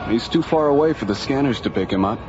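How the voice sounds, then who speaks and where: An actor playing a matter-of-fact space station radio operator in a radio drama, brisk in manner. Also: male voice, medium pitch, lively intonation, dull audio, natural voice. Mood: businesslike. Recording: band-limited radio recording over music.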